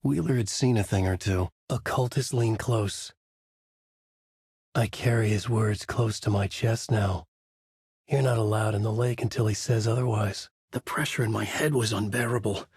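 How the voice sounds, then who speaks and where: A man narrating a dark thriller game as a brooding, haunted writer, in a deliberate, literary, dramatic delivery. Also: on the low side, husky, no room sound, clean studio sound. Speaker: voice actor playing a writer protagonist who narrates.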